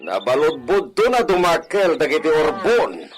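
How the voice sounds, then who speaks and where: A man's voice: a little high, huge pitch swings, moderate pace. A man playing a confident, satisfied cattle raiser in an Ilocano radio drama. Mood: pleased. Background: music and mooing cattle.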